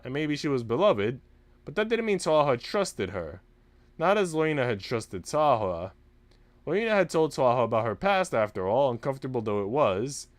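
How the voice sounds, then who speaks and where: A man reading a visual novel aloud as expressive story narration for a livestream. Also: medium pitch.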